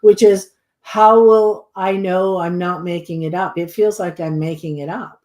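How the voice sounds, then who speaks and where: A woman guest who channels, explaining her ideas in a thoughtful, measured way. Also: on the low side, steady tone, darkish tone. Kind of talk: casual conversation. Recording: roomy echo.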